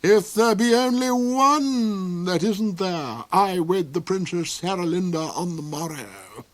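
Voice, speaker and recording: A man voicing a cold, wicked, lame duke in a storybook reading, with a high snarling sneer that turns to shrieks and whispers. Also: lively intonation, slow pace.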